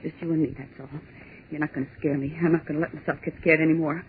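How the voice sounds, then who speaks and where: An actress playing a frightened young woman trying to stay calm and reasoning out loud, in 1940s radio drama style, on a tinny, scratchy old broadcast. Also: sits low, fast. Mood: shaken, defiant. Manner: tense, insistent, clinging to reason.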